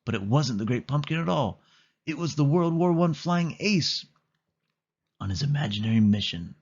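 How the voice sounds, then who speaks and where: A man's voice: fairly high pitch, lively intonation, normal speed, husky, lo-fi sound. A man narrating a children's storybook as a scripted read-aloud.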